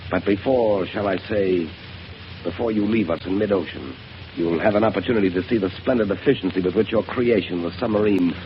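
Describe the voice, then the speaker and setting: Man voicing a cold pirate mastermind, low voice, mocking politeness, 1930s radio play, muffled lo-fi broadcast, static hiss. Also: a little fast, close to an everyday voice. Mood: menacing. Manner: smooth, coldly threatening, mocking politeness. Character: cold, menacing rich male pirate mastermind. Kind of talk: 1930s radio drama acting.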